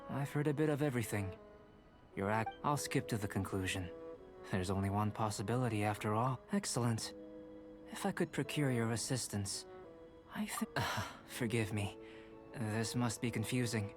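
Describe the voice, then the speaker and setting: A man voicing a young alchemist scholar in a video game, measured, formal, curious and detached. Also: fairly low pitch, lightly acted. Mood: composed, intrigued. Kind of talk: video game character dialogue. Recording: music behind the voice.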